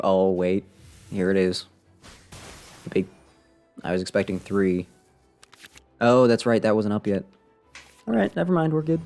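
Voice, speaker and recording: A man with a fairly low voice commentates casually over his own game stream. He thinks out loud, swinging between muttering and exclaiming, often at his own expense. Game music plays faintly behind him. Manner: thinks aloud, self-deprecating, mutters and exclaims. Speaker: video game livestreamer. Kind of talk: casual live gameplay commentary.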